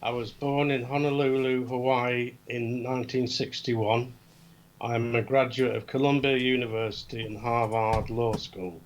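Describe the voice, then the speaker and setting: A man reading out quiz questions in a steady, matter-of-fact way on a casual live show. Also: mid-range voice, normal speed, a little hiss or hum, poor audio. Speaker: quiz guest.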